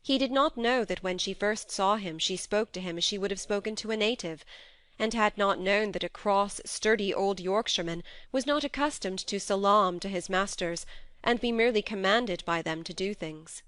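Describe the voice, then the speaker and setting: A woman reading a classic novel aloud as a steady, measured audiobook narrator on a close mic. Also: medium pitch, no echo.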